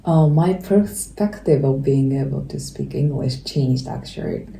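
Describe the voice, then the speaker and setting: Low gravelly young japanese woman, warm dark tone, echoey room, chatty with lots of likes. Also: a little hiss or hum. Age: 20s. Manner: fillers and quick bursts. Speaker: podcast co-host. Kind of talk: casual chat. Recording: echoey room, faint ambience.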